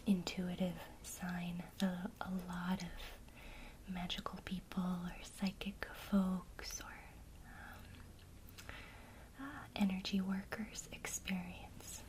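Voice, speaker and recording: A woman whispering softly and breathily in a gentle, encouraging way, as a teacher in an ASMR one-to-one lesson. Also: on the low side, little pitch movement, takes it slowly.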